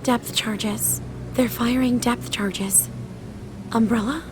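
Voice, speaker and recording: Woman acting a high-voiced, urgent young female survivor. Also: steady tone, slow pace, music in the background, low-quality recording. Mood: alarmed. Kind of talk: audio drama dialogue.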